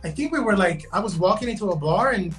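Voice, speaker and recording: A man's voice: high-pitched, expressive, fast, fairly bright tone, soft background music. A young man from Guatemala, raised in Los Angeles, chatting as a guest on a video call, talkative, restarting sentences and saying "bro" and "man.". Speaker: guest, digital fashion designer. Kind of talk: casual interview chat.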